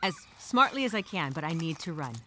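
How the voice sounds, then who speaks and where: A woman's voice: low-pitched, very animated, brisk, sharp tone, pitched below the performer's own voice, heavily acted. A woman playing a frightened, exasperated castaway, talking through a journal entry in a theatrical, emphatic, rambling dramatic monologue. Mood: alarmed, frantic. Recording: clear background noise with birdsong.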